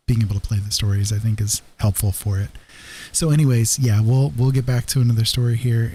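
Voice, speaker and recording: A man hosting a livestream, chatting casually in a halting, low-key, easygoing way. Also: dark tone, a little hiss or hum. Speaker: livestream host. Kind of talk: casual rambling livestream chat.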